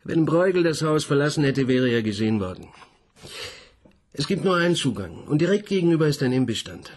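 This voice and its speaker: A man's voice: on the high side, animated, brisk. An actor playing a junior police detective in a radio crime drama, eager and deferential, chatty with his boss.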